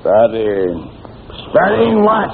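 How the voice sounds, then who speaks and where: An actor playing a blustering, sarcastic army captain who barks pompously in an old-time radio comedy. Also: male voice, medium pitch, wildly swooping pitch, takes it slowly, heavily acted. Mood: scolding. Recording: noisy, tinny old radio recording.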